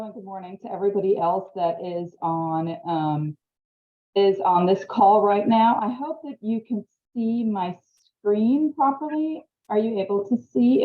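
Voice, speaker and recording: A woman's voice: medium pitch, roomy sound. A woman from Trinidad teaches marketing in a friendly, chatty way. She speaks over a video call. The sound is thin and phone-like. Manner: friendly, chatty, laughs easily. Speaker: marketing instructor. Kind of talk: online presentation.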